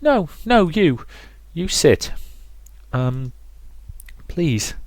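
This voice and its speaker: A man voicing a bossy, warm-hearted, tipsy young woman from a visual novel, read aloud. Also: fairly high pitch, sing-song, extremely slow, no room sound, pitched above the performer's own voice, heavily acted. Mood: tipsy, insistent.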